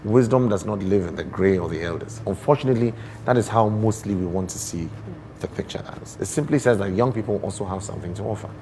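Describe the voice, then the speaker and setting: A man with a Ghanaian accent speaks in a lowish voice as an interview guest. He explains in an expressive, deliberate, teacherly way, leaning on rhetorical questions. There is noticeable ambient noise behind him. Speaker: guest poet and storyteller.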